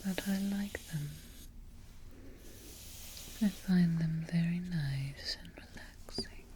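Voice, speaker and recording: A woman acts out an evil queen in a hushed ASMR roleplay. The voice is whispered, lowish and mellow, moving very slowly with musing pauses. The queen is fussy and calm, with quiet menace. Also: slight background noise.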